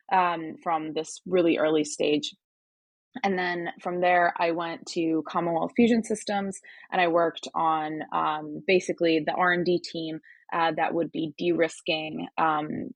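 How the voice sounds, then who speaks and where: A woman's voice: sits low, dry recording. A young adult woman from Turkey who sounds American, talking casually as a podcast guest, with lots of ums and restarts. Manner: chatty, many fillers and restarts. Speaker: podcast guest, hardware startup advisor. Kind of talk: casual interview conversation.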